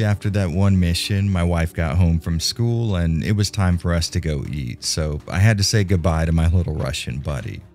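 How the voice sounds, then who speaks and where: Very deep-voiced man narrating a game review. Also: darkish tone. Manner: wry, tongue-in-cheek humour. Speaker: video game reviewer and channel host. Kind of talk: scripted review narration with casual, conversational phrasing. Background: background music.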